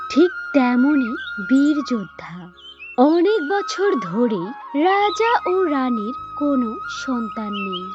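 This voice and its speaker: A woman narrating a scripted fairy tale in an expressive storytelling manner. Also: high-pitched, highs cut off.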